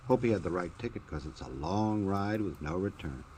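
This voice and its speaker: Casting: glib gumshoe narrator, deep and animated, vintage radio style, noisy old recording. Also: male voice, normal speed. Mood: wry. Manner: glib, punning. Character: wry hard-boiled male detective narrator. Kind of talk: 1940s radio detective narration.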